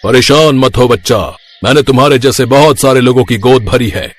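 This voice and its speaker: A man's voice: mid-range voice, normal speed, close to an everyday voice. A man voicing a reassuring, solemn tantric holy man in a cartoon moral story.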